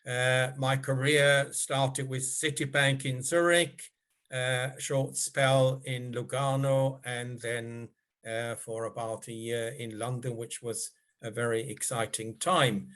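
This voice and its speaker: An older man from Switzerland, speaking as a guest at a club meeting over a video call. He talks in a measured, polite way, with frequent filler pauses. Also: mid-range voice, flat delivery, fairly bright tone.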